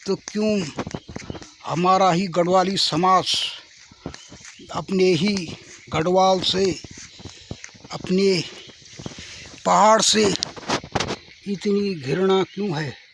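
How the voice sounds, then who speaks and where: Earnest hill vlogger, slow and high. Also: male voice, fairly even intonation, gravelly, fairly bright tone, a little hiss or hum, poor audio. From Garhwal, Uttarakhand. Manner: earnest, repetitive, addresses viewers as friends. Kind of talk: singing then casual vlog talk. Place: outdoors in rural hills.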